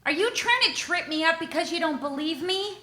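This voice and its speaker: An actress playing an earnest, easily hurt young woman in an animated comedy read, sincere and indignant. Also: very high-pitched, brisk, echoey room, exaggerated voice. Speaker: voice actor at a live table read. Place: stage with live audience.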